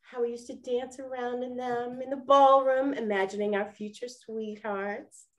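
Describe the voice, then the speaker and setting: A woman's voice: on the high side, steady tone, unhurried, natural voice. A woman playing a chatty, wistful lady detective of the 1920s in a radio-style play.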